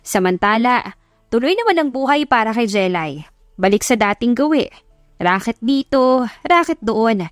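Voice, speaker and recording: A woman's voice: on the high side, lively intonation, moderate pace, dry recording, studio quality, faint music behind. A woman giving scripted narration of an audiobook love story.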